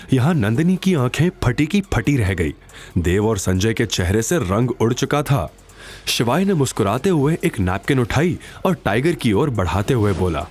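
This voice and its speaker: A male narrator tells a scripted drama with a very lively, swinging delivery. His medium-pitched voice sits over faint background music. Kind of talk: dramatic scripted Hindi audio story narration.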